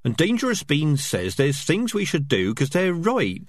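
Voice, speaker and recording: A man's voice: wildly swooping pitch, moderate pace, no room sound, studio quality. A man voicing a squad of small, nervous, bickering male rats in an audiobook reading. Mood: uneasy. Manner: bickering, nervous, high-pitched chatter. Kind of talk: audiobook character voices.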